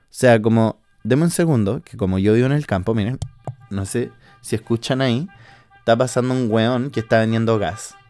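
A man's voice: medium pitch, moderate pace, darkish tone, faint music behind. A man in his twenties with a Chilean accent, chatting casually and slangily as a podcast host from his house in the countryside. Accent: Chilean Spanish. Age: 20s. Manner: chatty, slangy, swearing, filler-heavy. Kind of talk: casual monologue.

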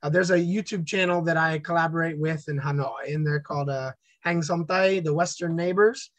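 A man chatting casually as an interview guest, telling stories in an upbeat, animated way. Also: a little high, normal speed, dry recording. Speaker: guest content creator.

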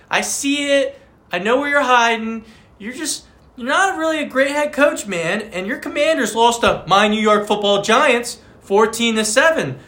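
A man's voice: high-pitched, sharp tone. A man co-hosting a casual fantasy football podcast, joking and trash-talking in slangy banter. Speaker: podcast co-host.

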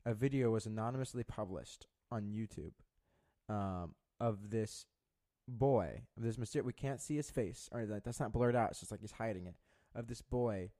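A man's voice: mid-range voice, dark tone. A young man co-hosting a podcast, telling a story in a rambling, profane way with many restarts. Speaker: podcast co-host. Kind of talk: casual chat.

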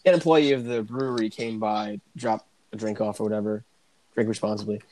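A man's voice: fairly low pitch, steady tone, fairly quick, a little mellow, rough recording. An American man hosting a sports podcast, chatting casually with friends. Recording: noticeable background noise, clicks.

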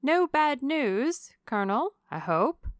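A nosy older matron, played by a woman narrator, pries and teases with jolly insistence. Her voice lifts and swoops loudly on exclamations, in a dry audiobook reading. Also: high voice, very animated, takes it slowly, dry recording, voice pushed up, exaggerated voice. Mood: prying. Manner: exclamatory, prying, swooping emphasis.

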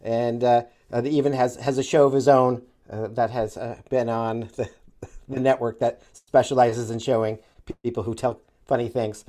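A man's voice: medium pitch, level tone, normal speed, warm tone. Hesitant, joking podcast co-host man. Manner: hesitant, full of "uh" fillers, wordplay. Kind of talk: casual podcast chat.

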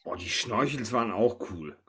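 A man's voice: medium pitch, lively intonation, slow pace, sharp tone, slightly rough. A man hosting a casual podcast chat, talking informally. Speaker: podcast host.

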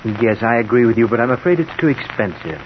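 An actor playing a fussy, cold, thrifty brother with a precise, prim manner, in 1940s radio style. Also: male voice, mid-range voice, expressive, quick pace, some chatter behind, lightly acted. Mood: cool, calculating. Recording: muffled, hissy old radio recording.

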